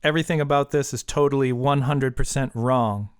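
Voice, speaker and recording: A man's voice: mid-range voice, fairly even intonation, a little fast, smooth, natural voice. A man voicing an anxious, incredulous young woman who pleads and protests with exasperation in an audiobook story. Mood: pleading.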